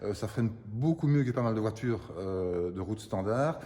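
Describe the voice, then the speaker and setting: A man's voice: lowish voice, lively intonation, normal speed, dark tone, big-room sound. An older man, explaining car restoration in a casual interview, hesitant and repeating words. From Italian roots.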